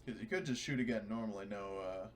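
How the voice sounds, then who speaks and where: A man's voice: on the low side, fast talker. A man trading casual banter as a player in a tabletop game.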